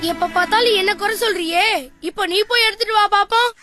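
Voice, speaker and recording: Extremely high boyish cartoon kitten, whiny, pleading, demanding, music behind. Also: female voice, fast talker. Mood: complaining. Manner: whining, pestering. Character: whiny, pleading young brother cat, childish and demanding. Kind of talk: children's animated story acting.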